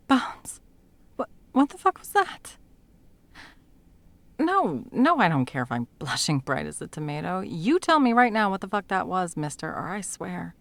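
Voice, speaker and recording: Brash, foul-mouthed sorceress played by a woman, low and creaky. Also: very animated, unhurried, dry recording. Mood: flustered, indignant. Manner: sweary, bossy, teasing. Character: brash, foul-mouthed, teasing female sound mage, secretly smitten. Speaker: audio roleplay voice actor. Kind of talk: intimate second-person audio roleplay acting.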